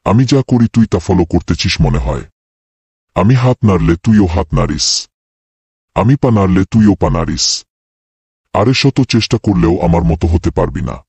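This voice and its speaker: Man voicing a deep, very husky boastful goat. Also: lively intonation, normal speed, long pauses, a little mellow, dry recording, full character voice. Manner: bragging, swaggering. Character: boastful brave male goat, village tough guy. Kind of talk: cartoon voice acting.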